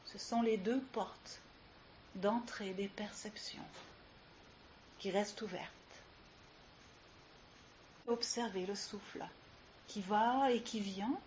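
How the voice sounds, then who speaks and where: A woman leading a guided yoga relaxation as instructor, giving soothing, unhurried instructions with long pauses, recorded live. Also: mid-range voice, steady tone, darkish tone. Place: live session with ambient sound. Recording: muffled live recording with clear hiss.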